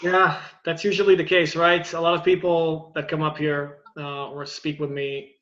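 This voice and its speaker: A man hosting an interview, speaking in an earnest, measured and supportive way. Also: on the high side, flat delivery, a bit bright, muffled recording. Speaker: host. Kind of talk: interview host.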